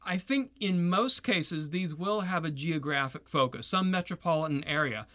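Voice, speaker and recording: A man with a bright, fairly high voice answers interview questions. He explains his ideas in an earnest, measured way, now and then restarting a phrase mid-thought. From Taiwan. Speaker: guest, securities lawyer. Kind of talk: radio interview.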